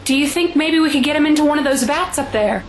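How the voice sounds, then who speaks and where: High-pitched anguished mother played by a woman. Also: fast, soft background music, natural voice. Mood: worried, subdued. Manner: emotional, pleading. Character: anguished, guilt-ridden mother fearing for her son. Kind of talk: audio drama acting.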